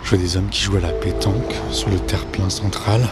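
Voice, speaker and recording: A man hosting a podcast, narrating and asking gentle, probing questions as a reporter in a street interview. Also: deep voice, fairly even intonation, fairly quick, over loud music. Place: outdoors on a city street.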